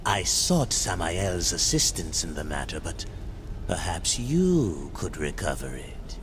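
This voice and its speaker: A demonic game merchant, sly and theatrical. The speech is slow and insinuating, with wildly swinging pitch. Faint noise sits behind the voice. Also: male voice, big pitch swings, fairly bright tone, exaggerated voice. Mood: sly bargaining. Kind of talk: video game cutscene acting.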